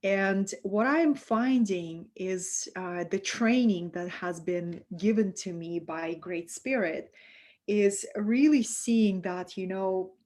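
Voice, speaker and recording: A woman from Russia with a Russian accent, hosting a live-streamed spiritual talk and speaking softly and affectionately to her viewers. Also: medium pitch, takes it slowly. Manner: soft, affectionate, reads out viewer comments.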